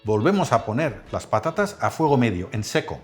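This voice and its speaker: Male cooking host, animated delivery over faint music. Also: medium pitch, brisk. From Netherlands. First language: Dutch.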